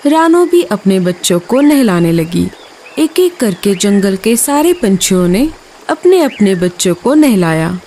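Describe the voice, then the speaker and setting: Expressive woman storybook narrator. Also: mid-range voice, a little slow, slight background noise.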